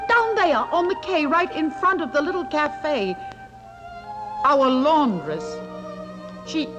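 An actress playing a timid, prim middle-aged spinster schoolteacher who stammers and frets in a stage monologue. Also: female voice, sits high, expressive, takes it slowly, music in the background. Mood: anxious. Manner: fluttery, stammering, self-pitying.

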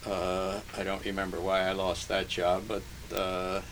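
An older man born in Hungary and raised in New Jersey, recalling his early life in an oral history interview, slowly and haltingly, with many pauses and fillers. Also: sits low, completely flat delivery, fairly bright tone. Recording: noisy archival tape recording.

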